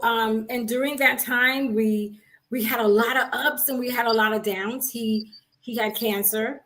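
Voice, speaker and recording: A woman from Haiti, raised in Miami, telling her story as a guest, earnest and steady. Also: a little high, low-quality recording. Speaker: guest, coach. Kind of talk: casual interview.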